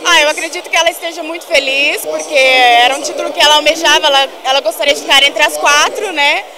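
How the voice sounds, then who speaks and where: Young woman, high voice, big crowd. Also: fairly even intonation, fast talker. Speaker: contestant's sister. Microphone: handheld reporter mic.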